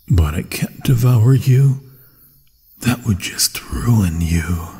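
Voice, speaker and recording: A man's voice: sits low, a little mellow, slightly rough, exaggerated voice. A man voicing a hungry, possessive werewolf in an ASMR fantasy roleplay, savoring his words seductively and often slipping into whispers. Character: hungry, possessive male werewolf, menacing yet infatuated. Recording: long cavernous reverb.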